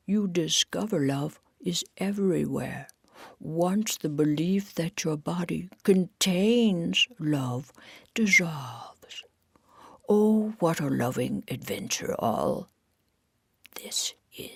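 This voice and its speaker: A woman voicing a serene, reverent female animal sage in a storybook, speaking slowly and meditatively. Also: on the low side, gravelly, husky, no echo, clean studio sound, heavily acted.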